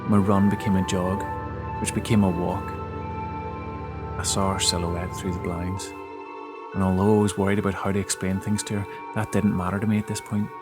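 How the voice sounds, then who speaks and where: A man giving a dramatic first-person narration of a scary story, with halting, emotive delivery. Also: low-pitched, level tone. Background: background music.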